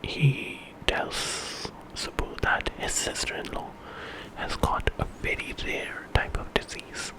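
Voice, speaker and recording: A man telling an ASMR story in a hushed, unhurried whisper with pauses. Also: rough recording. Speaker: ASMR storyteller, channel host.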